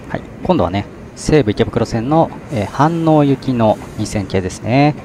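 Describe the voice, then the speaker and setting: A man's voice: mid-range voice, moderate pace, a little hiss or hum. A man filming trains from an outdoor station deck, chatting casually and hesitantly as he points out each train. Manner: relaxed, chatty, frequent "ne" fillers and hesitations. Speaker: vlogger. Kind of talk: casual train-spotting commentary. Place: outdoor station deck overlooking rail yard.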